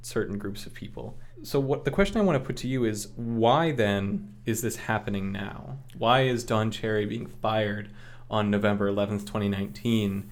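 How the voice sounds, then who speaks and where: A man hosting a news podcast, asking questions conversationally and deliberately, phrase by phrase. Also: lowish voice, slight background noise. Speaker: podcast host, interviewer.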